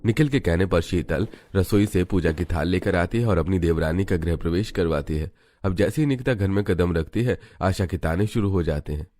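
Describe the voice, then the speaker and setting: A man's voice: sits low, brisk, warm tone, dry recording. A man reading scripted narration for a family story.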